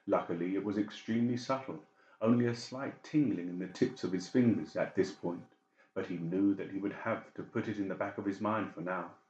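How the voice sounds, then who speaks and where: A man reads dramatic story narration for an audiobook. His voice is very low. The recording is roomy and a bit muffled. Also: fairly quick, a little mellow. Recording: roomy, slightly dull sound.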